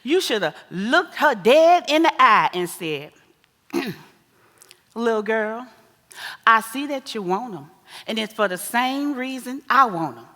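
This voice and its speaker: A woman acting a sassy, scolding, wise older American mother in a stage play, with an animated, folksy, preachy delivery. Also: fairly high pitch, slow, full character voice. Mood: sassy, teasing. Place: live stage in a theater. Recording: echoey theater hall with long reverb.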